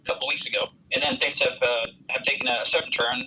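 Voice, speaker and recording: An American man with a very bright, forceful voice answers interview questions earnestly. He often halts and searches for words. The recording sounds like a remote line with faint noise behind it. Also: medium pitch, fairly even intonation, fast talker, poor audio. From United States. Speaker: interviewed volunteer soldier.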